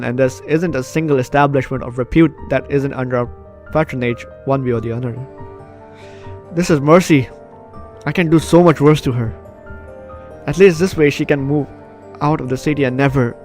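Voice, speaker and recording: Man, mid-pitched voice, casual let's play host, reading story text aloud, game music behind. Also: darkish tone. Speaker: gaming video host.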